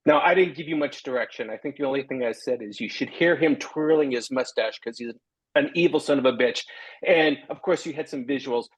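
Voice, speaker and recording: A man hosting a casual interview and retelling events in an excited, storytelling way. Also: medium pitch, a little fast, husky, rough recording. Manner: enthusiastic, tells stories with quoted dialogue. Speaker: host.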